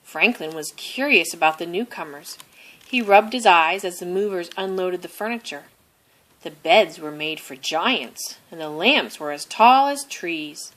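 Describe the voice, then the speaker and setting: A woman reading a children's story aloud expressively, deliberately stressing phrases. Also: fairly low pitch, a bit bright. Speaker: storybook reader.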